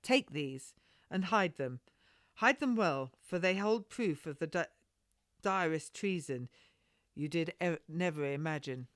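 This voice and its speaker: A woman's voice: sits low, lively intonation, measured pace, dry recording, clean studio sound. A woman voicing a cocky, evasive male captain from a period storybook, with flowery formal speech. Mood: earnest, secretive.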